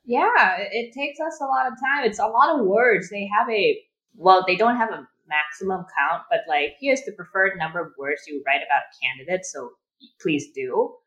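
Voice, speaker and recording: A woman's voice: mid-range voice, animated, normal speed, a bit bright, muffled recording. A woman from Thailand speaking as a podcast guest, chatty and friendly, often correcting herself mid-sentence. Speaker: guest, project manager. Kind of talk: casual interview.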